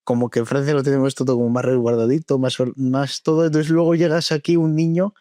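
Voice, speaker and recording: A man's voice: medium pitch, steady tone, fast, mellow, no room sound, clean studio sound. A man hosting a podcast interview, attentive and chatty, often affirming and laughing along. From Spain. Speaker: podcast host. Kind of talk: conversational interview.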